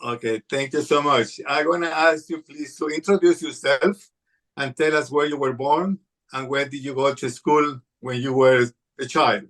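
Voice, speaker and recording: A man's voice: mid-range voice, moderate pace, fairly bright tone. A man from Ecuador who speaks English with an Ecuadorian Spanish accent, hosting an interview with careful phrasing and fillers. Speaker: host.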